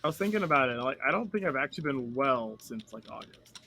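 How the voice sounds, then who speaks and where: A man co-hosting a casual chat, telling stories in a rambling, animated way and swearing freely. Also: mid-range voice, quick pace. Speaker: podcast co-host.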